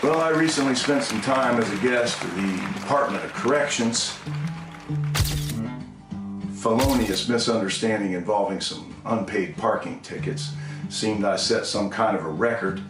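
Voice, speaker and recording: A man's voice: fairly low pitch, moderate pace, reverberant, far from the mic, over loud music, over a noisy crowd. A man introducing his song with a wry, laid-back story. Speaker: singer-songwriter introducing a song.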